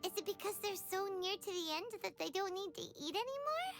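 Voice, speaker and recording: A woman voicing a small, childlike fairy companion in a video game, curious and chatty, with a squeaky voice. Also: very animated, brisk, exaggerated voice. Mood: curious, pondering. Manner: refers to self in third person, musing aloud. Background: game music.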